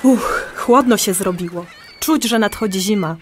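An actress playing a patient, enthusiastic amateur birdwatcher who explains things in a conversational audio drama. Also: female voice, mid-range voice, a little slow, a little hiss or hum, lightly acted. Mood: explaining.

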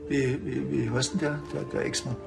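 An actor with a Bavarian accent playing a laconic, dry-witted small-town police officer in a TV crime comedy. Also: male voice, mid-range voice, steady tone, normal speed, creaky, music in the background. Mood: probing, casual.

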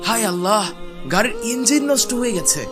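A man's voice: sits high, expressive, brisk, over music. A man playing a courteous, questioning well-off traveling husband in a cartoon. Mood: troubled. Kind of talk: cartoon voice acting.

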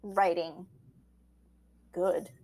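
A woman's voice: on the low side, little pitch movement, very slow, mellow. A woman whose first language is Portuguese, talking casually as an editor and guest in an interview, laughing often and trailing into fillers.

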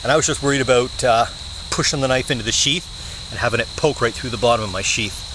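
A young man hosting a bushcraft vlog, sitting outdoors in the woods and talking to the camera in a relaxed, plain-spoken way with frequent "uh" fillers. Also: medium pitch, fast. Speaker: bushcraft channel host. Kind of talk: casual show-and-tell vlog. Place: woods outdoors, seated on the ground. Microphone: camera mic at close range. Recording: outdoor camera audio with insect noise. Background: crickets and insects.

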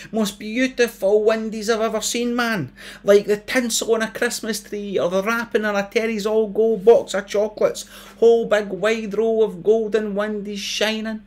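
A man's voice: high-pitched, pitched above the performer's own voice, lightly acted. A Scottish man voicing a dreamy, simple-minded teenage boy who rambles and gets easily distracted. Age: young adult.

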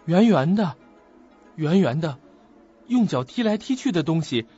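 An eager young man with a high, lively voice, played by a male actor. He is gentle and earnest, coaxing in radio drama style, slightly husky, with music underneath. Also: unhurried, highs cut off, exaggerated voice. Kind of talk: Chinese suspense radio drama acting.

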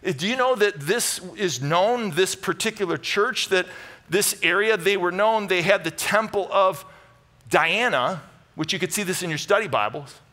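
A middle-aged American man preaching a conversational sermon, emphatic and animated, moving between loud bursts and hushed asides. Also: high-pitched, moderate pace. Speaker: pastor preaching. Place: large church auditorium stage with audience.

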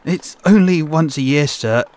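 An actor playing a meek, apologetic office clerk, deferential and halting, in an audio drama. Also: male voice, a little high, a little mellow, lightly acted.

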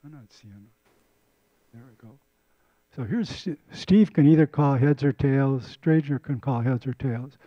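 A man's voice: mid-range voice, unhurried, dry recording, highs cut off. An older man giving a casual community lecture on a handheld mic, often repeating and restarting words. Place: lecture room.

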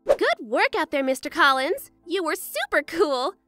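A woman's voice: sits high, very animated, a little slow, fairly bright tone, soft background music, full character voice. A woman playing a bubbly, cheeky, carefree youngster in a fan dub, with a chirpy, playful manner.

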